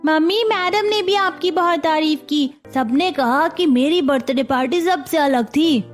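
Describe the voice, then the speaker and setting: A woman voicing an excited, gushing young schoolboy in a cartoon story. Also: high-pitched, a little slow, faint music behind. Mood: delighted. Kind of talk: Hindi cartoon story acting.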